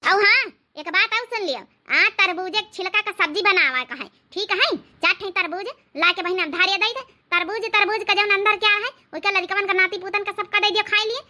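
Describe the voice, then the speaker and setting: A woman's voice: extremely high pitch, normal speed, few pauses, sharp tone, full character voice. A woman voicing a bossy village mother-in-law who scolds and threatens, in Awadhi-accented comic cartoon dialogue. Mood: commanding. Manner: haranguing, threatening.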